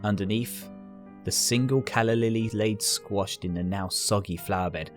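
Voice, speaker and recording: Low-pitched man narrating a story. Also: moderate pace, faint music behind. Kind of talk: scripted fan fiction story narration.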